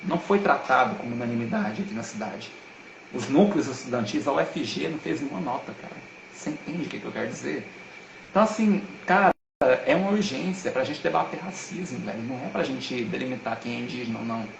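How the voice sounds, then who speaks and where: A man's voice: mid-range voice, animated, fast, creaky, noisy background. A man talks as a guest on a live stream, earnest and emphatic, with a lot of slang. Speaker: guest speaker. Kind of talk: casual live-stream talk. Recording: roomy echo.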